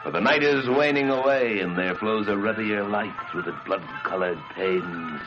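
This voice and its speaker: A man narrating a gothic horror story in a dramatic old radio manner, swinging between hushed and declaiming delivery. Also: on the low side, normal speed. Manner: theatrical, swelling from hushed near-whisper to declaiming. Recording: dull, band-limited radio sound under loud music. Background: loud music.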